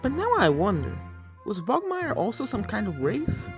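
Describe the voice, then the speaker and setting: A man's voice: high voice, wildly swooping pitch, normal speed, vocal fry, over music, voice pushed up, exaggerated voice. A man voicing a brave but shaken young girl pony in a fan fiction reading, polite and a little hesitant. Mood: worried.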